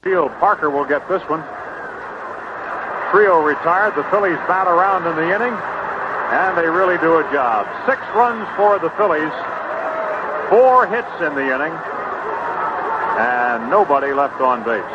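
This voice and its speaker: A muffled, very noisy old radio broadcast carries an American man calling baseball play-by-play. His voice sits a bit high as he reports the game from the ballpark booth. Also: animated, measured pace, pauses often. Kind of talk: live radio sports commentary.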